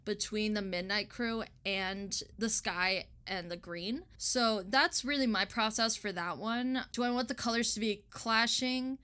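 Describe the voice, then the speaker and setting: A young woman with a bright, forward voice chats casually as an interviewed artist. Her talk is informal and slangy, with a giggly manner. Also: mid-range voice, unhurried, few pauses, smooth. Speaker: interviewed illustrator.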